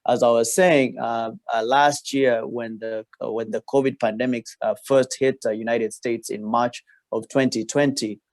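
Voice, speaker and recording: A man's voice: mid-range voice, fairly even intonation, moderate pace, few pauses, no echo. A man, a radiologist, speaking over a video call in a hesitant manner, full of fillers and switching between Swahili and English. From Tanzania.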